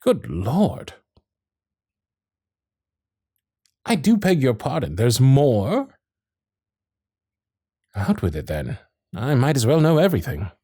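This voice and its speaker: Man acting a husky, courteous Victorian gentleman suitor. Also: medium pitch, huge pitch swings, no room sound, studio quality, full character voice. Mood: probing, surprised. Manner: formal, old-fashioned, measured phrasing with dramatic pauses. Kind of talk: romantic period ASMR roleplay, intimate one-sided dialogue.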